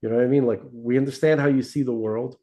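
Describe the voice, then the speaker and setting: A man in casual discussion, mid-pitched with a mellow, dark tone. He rambles and restarts often, checking in with "right?" and "okay?" to keep the listener with him. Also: steady tone, quick pace. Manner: rambling, many restarts, seeks agreement with tag questions. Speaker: podcast co-host.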